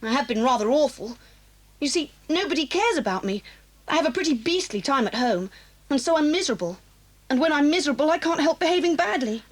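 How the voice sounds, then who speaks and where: A woman's voice: high-pitched, expressive, fairly quick. A woman playing a lonely, proud, misunderstood boarding-school girl with an English accent in a children's audio drama. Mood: wistful, confiding.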